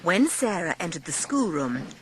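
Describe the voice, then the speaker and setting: A woman's voice: lowish voice, sing-song, normal speed, a little hiss or hum, low-quality recording. A woman narrating a scripted storybook for a dramatised audiobook. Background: music.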